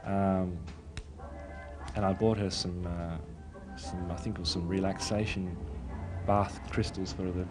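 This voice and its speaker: A man's voice: low-pitched, level tone, measured pace, over music. A young man, born in Italy, chatting in a hesitant, rambling way about his clothing business in a casual street interview. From born in Italy, raised in Australia. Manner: rambling, hesitant, full of "sort of". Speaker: clothing designer and shop owner. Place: outdoors on a city street. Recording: street traffic noise behind.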